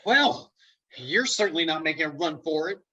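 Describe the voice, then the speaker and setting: Reluctant hero, male actor in table read: earnest, dryly understated, raspy, with lively pitch swings. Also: moderate pace, dull audio. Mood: awkward. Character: awkward, earnest reluctant hero Dave Dickens. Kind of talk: comedic script table read.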